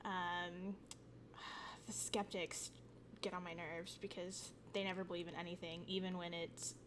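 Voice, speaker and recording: A woman's voice: lowish voice, level tone, unhurried. Give me a woman introducing her character at a tabletop game, bubbly and offhand. A faint hiss sits behind her voice. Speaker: player, regular of the channel.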